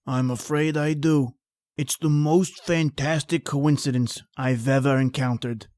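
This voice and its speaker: A man's voice: medium pitch, steady tone, measured pace, a little mellow, no room sound, lightly acted. A man playing a guarded, wry street painter in terse old-time radio drama style. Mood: uneasy.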